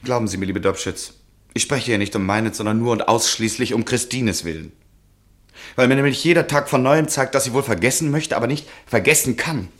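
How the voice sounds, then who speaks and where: An actor playing an anxious, resigned nobleman and husband, speaking in earnest, measured, formal German radio drama style. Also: medium pitch, close to an everyday voice. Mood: oppressed, urgent. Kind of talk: German radio play acting.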